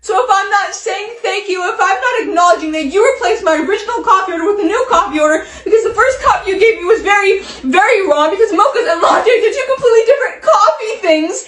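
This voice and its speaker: A woman's voice: very high-pitched, fairly bright tone. An amateur actress playing an exasperated, self-pitying young woman in a petulant, ranting stage monologue. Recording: echoey room.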